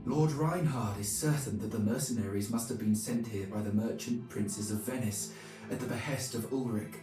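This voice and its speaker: An actor playing a pious medieval monk narrating his journal for a video game in a formal, measured way. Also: on the low side, far from the mic, natural voice. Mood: solemn, reflective. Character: male medieval monk chronicler, pious, solemn. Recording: very echoey, music behind.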